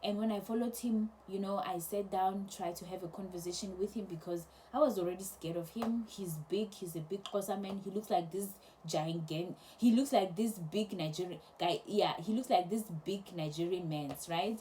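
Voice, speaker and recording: A young woman casually telling a travel story to camera, chatty and animated, with many restarts. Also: mid-range voice, moderate pace, few pauses, slight background noise, low-quality recording. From South Africa. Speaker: travel vlogger.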